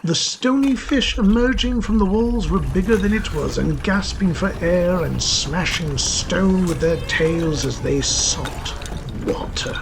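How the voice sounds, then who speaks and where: A man's voice: high-pitched, animated, measured pace, gravelly, background noise. A man reading scripted narration for a fantasy story, as its narrator.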